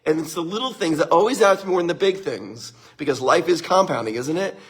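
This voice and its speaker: Upbeat middle-aged male motivational speaker, husky and fairly high for a man, live-stream feel. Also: expressive, quick pace. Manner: upbeat, greets viewers by name, laughs often.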